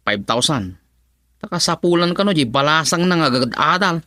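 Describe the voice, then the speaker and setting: A teasing, lively drinking buddy. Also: male voice, a little high, normal speed, no room sound, studio quality. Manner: teasing, laughs often. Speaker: friend. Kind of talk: radio drama acting.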